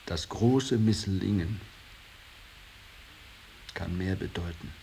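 Slow, low-voiced, rhapsodic painter. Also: male voice, gravelly, background noise, exaggerated voice. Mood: earnest, awed. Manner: rhapsodic, solemn, swinging from hushed to outbursts. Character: Lachmann, embittered, idealistic male painter revering his old master. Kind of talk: 1980s German radio drama acting.

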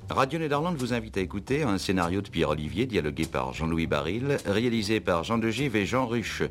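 Guy doing old radio play credits, low voice, really loud brass behind him. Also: big pitch swings, fairly quick. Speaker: radio announcer. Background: loud brass music.

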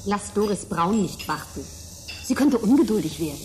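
A woman's voice: mid-range voice, animated, normal speed, darkish tone, a little hiss or hum, lightly acted. An actress playing a guarded, serious young woman in a German radio drama. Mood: cool, hurt.

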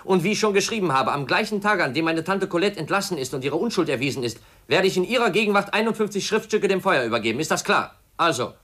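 A man's voice: high voice, animated, fast, few pauses, fairly bright tone, a little hiss or hum. An actor in a 1950s German radio play as an earnest, determined young man who speaks with resolve. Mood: forceful, resolute. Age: young adult. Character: determined, earnest young man seeking justice for his aunt.

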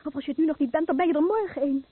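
A woman playing a frightened young wife recoiling from her stricken husband, hushed and intense, in a Dutch radio play. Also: high-pitched, racing, dry recording, close to an everyday voice. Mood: cold rejection. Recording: dry, narrow-band old radio recording.

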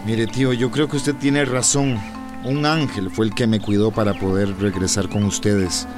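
Earnest actor as a shipwreck survivor, music underneath. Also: male voice, lowish voice, steady tone, normal speed, close to an everyday voice. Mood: grateful. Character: male shipwreck survivor, humble and grateful, recounting his ordeal. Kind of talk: radio soap opera acting. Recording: radio drama with music underneath.

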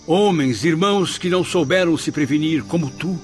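An actor playing an earnest male spirit in a radio drama, speaking in a measured, declamatory way. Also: fairly high pitch, natural voice. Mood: solemn, exhorting. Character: Camilo, earnest male spirit telling his story. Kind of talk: solemn radio drama acting and narration. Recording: background music under the voice.

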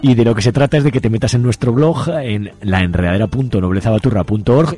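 A man's voice: fairly low pitch, a little mellow, husky. A man with an accent from Spain, hosting a radio show in an informal, jokey way. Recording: music under the voice.

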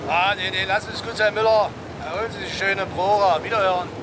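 An actor playing a curt, sarcastic middle-aged police chief in a radio drama. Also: male voice, high-pitched, fairly even intonation, brisk, background noise, lightly acted. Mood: dismissive. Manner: curt, ironic, commanding.

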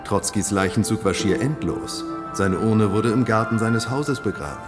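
Radio drama: male actor as formal, insistent, skeptical attorney, fairly low voice over music. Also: normal speed, natural voice. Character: skeptical, exasperated male defence lawyer. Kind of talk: German radio drama acting.

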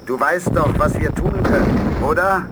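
An actor playing a blunt, sceptical astronaut comrade in a science fiction radio drama. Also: male voice, fairly high pitch, unhurried, heavy background noise, natural voice. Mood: agitated, scornful.

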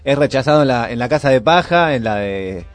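A man's voice: medium pitch, expressive, a little fast, no echo. A man with an Argentine accent co-hosting a radio show in casual, slangy chat.

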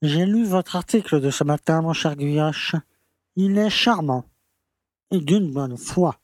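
Casting: male actor for pompous, slippery town mayor, talking down to everyone, fairly high voice, radio play. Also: slow pace, dry recording, studio quality. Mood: dry, dismissive. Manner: pompous, patronizing. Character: pompous small-town French mayor, condescending and evasive. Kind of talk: audio drama acting.

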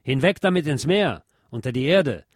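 A man's voice: on the high side, animated, normal speed, slightly rough, no room sound. Man giving a dramatic literary reading, dry sound. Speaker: reader of a literary text.

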